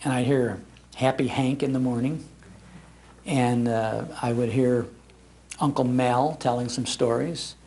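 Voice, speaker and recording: A man's voice: mid-range voice, steady tone, unhurried, darkish tone. An older American man telling humorous, nostalgic stories to a live audience in a folksy, emphatic way. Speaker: speaker giving a talk on old-time radio. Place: public library talk before an audience.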